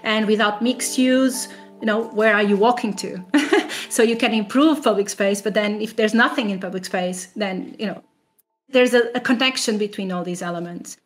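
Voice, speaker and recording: A woman's voice: mid-range voice, normal speed, faint music behind. A woman academic being interviewed, explaining in a conversational way with rhetorical questions and frequent "you know" asides.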